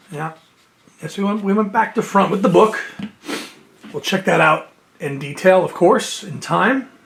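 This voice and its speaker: A man's voice: high-pitched, very animated, takes it slowly, gravelly, long pauses, slight background noise. A man casually chatting through an unboxing of a music box set, reading out liner notes with enthusiasm and sniffling from allergies. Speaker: music collector and video host. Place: indoors at home near his shelves.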